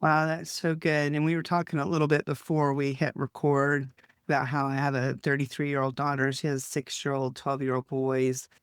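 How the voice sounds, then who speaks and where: A man's voice: mid-range voice, completely flat delivery, moderate pace, smooth, no room sound, clean studio sound. A man in his fifties with a Texan accent, hosting an interview in an enthusiastic, chatty way. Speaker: host.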